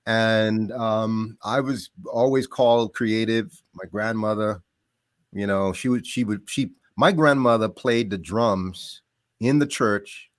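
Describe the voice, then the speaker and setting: Animated man from the Bahamas. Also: fairly low pitch, slow, no room sound, clean studio sound. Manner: animated, laughs often, trails off into quieter asides. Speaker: podcast guest. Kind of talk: casual storytelling.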